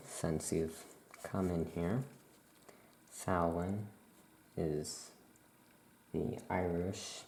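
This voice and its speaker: A woman speaking softly in an unhurried, explanatory murmur, playing a witch shopkeeper in an ASMR roleplay. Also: a bass voice, slight background noise. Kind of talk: soft-spoken ASMR shop roleplay.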